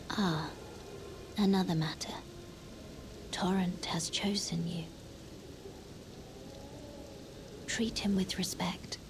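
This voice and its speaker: An actress playing a solemn young maiden guide in a fantasy game, gentle and reserved, speaking softly and formally in a breathy hush. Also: female voice, lowish voice. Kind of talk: dramatic fantasy game acting.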